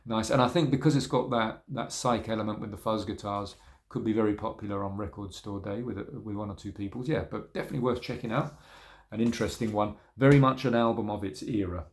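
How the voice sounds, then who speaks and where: Easygoing man with a lowish voice. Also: brisk. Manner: relaxed, rambling, many ums and trailing-off asides. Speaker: record collector showing vinyl. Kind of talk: casual spoken video review.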